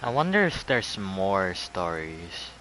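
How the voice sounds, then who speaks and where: A man commenting casually on his gameplay. His voice is fairly low but bright, with slow, offhand delivery. There is faint noise behind him. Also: big pitch swings, low-quality recording. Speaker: gameplay streamer.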